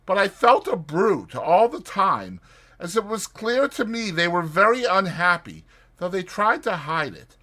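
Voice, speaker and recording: A man's voice: sits high, big pitch swings, unhurried, sharp tone, pitched above the performer's own voice, exaggerated voice. A man voicing an earnest, worried male water rat in a dramatized storybook reading, speaking in a grave, emphatic way. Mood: anxious.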